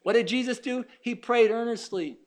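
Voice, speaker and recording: A man in his fifties preaching a sermon, emphatic, swinging from loud urging to hushed asides and acting out his listeners' objections. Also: very high-pitched, moderate pace. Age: 50s. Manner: acts out the doubting listener's objections. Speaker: pastor.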